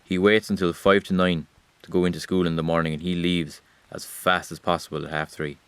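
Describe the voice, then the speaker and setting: A man's voice: low voice, little pitch movement, fairly quick, clear, resonant tone, dry recording. A man playing Rain as a weary observer of humanity, addressing the listener directly in a wry, reproachful audio drama monologue. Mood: wry, sombre.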